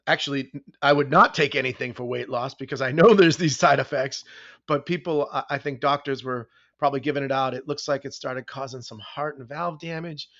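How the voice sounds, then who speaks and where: A man's voice: medium pitch, a bit bright, no echo. A man with a Boston accent co-hosting a casual podcast, chatty and jokey, often turning questions to his co-host. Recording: dull, dry podcast audio.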